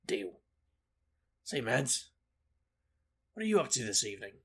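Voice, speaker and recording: An actor playing a brash, wisecracking investigator in a fantasy audio drama, a reckless charmer with a glib, deadpan delivery. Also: male voice, mid-range voice, measured pace, breathy edge, exaggerated voice. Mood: glib, cocky.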